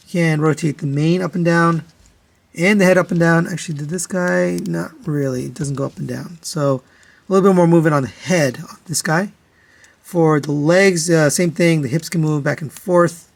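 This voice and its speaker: A man's voice: fairly high pitch, moderate pace, creaky. A man giving a casual product comparison review, explaining step by step in a matter-of-fact, conversational way. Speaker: toy reviewer, host.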